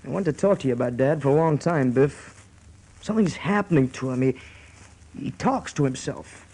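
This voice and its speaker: A man's voice: mid-range voice, animated, normal speed, dark tone, low-quality recording. An actor in a radio play voicing an ambitious young American man, a striving brother who works at a store. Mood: concerned.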